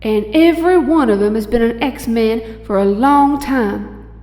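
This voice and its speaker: A woman acting a breezy young Southern belle superheroine in an audio drama. Her voice is high and echoes in a long reverb, folksy and kind, with music beneath. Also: unhurried, mellow, lightly acted. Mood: reassuring. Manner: folksy, easygoing.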